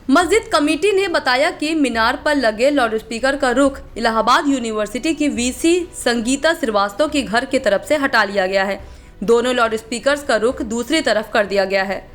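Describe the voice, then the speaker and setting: A woman reading a scripted news report as a voice-over. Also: high-pitched. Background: faint music bed.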